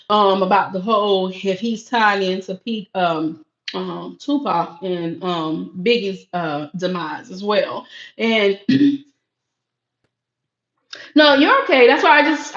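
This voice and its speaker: A woman's voice: medium pitch, a bit bright. A woman hosting a casual live stream from a home room, with a voice that carries. She chats and laughs while reacting to viewer comments. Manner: projecting voice that carries. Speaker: livestream host reading chat. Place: small home room used for crafting and recording, sparse and echoey. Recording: echoey room, dull lo-fi sound.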